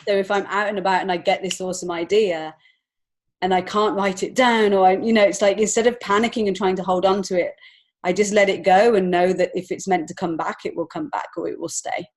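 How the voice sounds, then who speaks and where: A woman chatting casually as a guest in an interview, with a candid, expressive and giggly manner. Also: mid-range voice, brisk. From lives in Montreal, Canada. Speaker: guest, children's author and illustrator.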